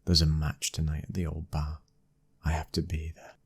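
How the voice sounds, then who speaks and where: A British man playing a young mafia boss's son, a protective, controlling husband, in an intimate ASMR roleplay, with a husky, breathy voice and a commanding manner. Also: very deep voice, monotone, normal speed, heavily acted. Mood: soft, intimate. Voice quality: husky, breathy, sometimes dropping to a whisper. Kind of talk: ASMR boyfriend roleplay audio drama.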